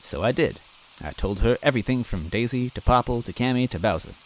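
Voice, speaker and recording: A man's voice: medium pitch, lively intonation, a little fast, slight background noise, poor audio. A man reading a fan story aloud in first person, earnest and timid in tone. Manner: earnest and timid, self-deprecating. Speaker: story reader.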